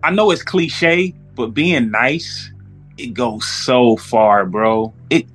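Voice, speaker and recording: A man's voice: mid-range voice, slow pace, faint music behind. A man chatting casually on a podcast, telling stories in profane slang and acting out what people said. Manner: animated, profane slang, acts out quotes. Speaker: podcast panelist. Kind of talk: casual storytelling chat.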